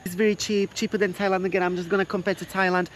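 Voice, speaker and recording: A woman's voice: medium pitch, flat delivery, slight background noise. A woman chatting casually as a travel vlogger, enthusiastic and filling her talk with "like" and "literally". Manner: fast, enthusiastic, many "literally"s and "like"s. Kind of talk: casual chat. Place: outdoors.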